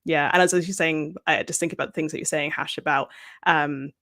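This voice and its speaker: A woman's voice: low voice, steady tone, fairly quick, fairly bright tone. A woman moderating a panel casually, in an informal and encouraging manner. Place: video call.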